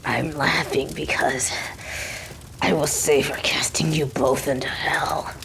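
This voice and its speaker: A woman playing a vengeful, snobby woman passing judgment in an audio drama, halting and menacing, slipping into whispers. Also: sits low, steady tone, vocal fry, fairly bright tone, slight background noise, heavily acted, rough recording. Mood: bitter, menacing. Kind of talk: dramatic audio roleplay acting.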